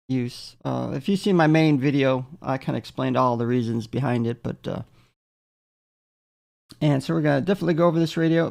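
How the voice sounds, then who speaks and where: Very creaky, halting man reviewing gear. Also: medium pitch, darkish tone. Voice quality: gravelly, creaky. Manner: halting, hesitant, frequent filler pauses. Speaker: product reviewer. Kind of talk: casual unscripted product demonstration.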